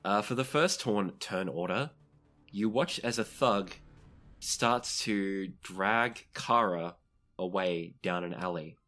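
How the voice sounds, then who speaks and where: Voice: low-pitched, slow pace, a bit bright, soft background music. A game master running a tabletop role-playing game, narrating scenes and calling for rolls in a conversational way.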